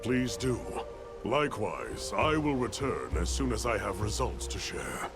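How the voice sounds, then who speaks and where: Learned guild professor, male, formal, grave, measured, animated pitch, game cutscene, music underneath. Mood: resolute, courteous. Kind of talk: video game cutscene acting.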